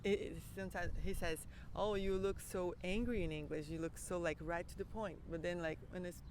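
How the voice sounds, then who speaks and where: A woman's voice: on the low side, fairly even intonation, brisk, a little mellow, poor audio. A Brazilian woman whose first language is Portuguese, chatting casually in English and searching for words, outdoors on a city street. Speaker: host's wife, co-host. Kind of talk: casual chat. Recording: outdoor city traffic noise.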